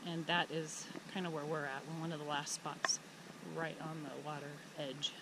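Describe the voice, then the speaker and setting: A woman's voice: low-pitched, level tone, moderate pace, noisy background. A woman travel vlogger giving casual, chatty commentary from inside a truck on a dirt road, thinking aloud as she goes. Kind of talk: casual commentary while driving.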